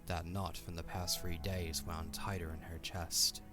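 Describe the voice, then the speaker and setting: A man narrating a scripted story as the narrator of an audio drama, in a measured, solemn way. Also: sits low, level tone, over loud music.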